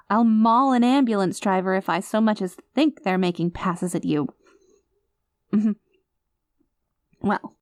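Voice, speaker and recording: A woman's voice: mid-range voice, a little mellow, dry recording, clean studio sound, natural voice. A woman acting an anxious, clingy, doting girlfriend with playful menace, in an intimate, emotive audio roleplay. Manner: emotive, flustered, affectionate, teasing.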